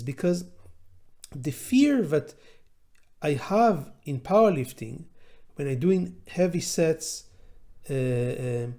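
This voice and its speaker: Middle-aged prof, hebrew native, slow thoughtful lecture talk, kinda warm soft voice, lots of uhs. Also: male voice, fairly high pitch. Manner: deliberate and measured, with pauses and filler sounds. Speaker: law professor hosting an educational channel. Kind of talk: reflective educational monologue.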